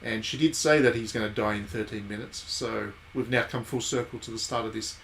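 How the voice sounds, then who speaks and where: A man's voice: fairly low pitch, fairly even intonation, brisk, gravelly, fairly bright tone, a little hiss or hum. A man hosting a game stream, giving casual live commentary and thinking aloud in conversational asides.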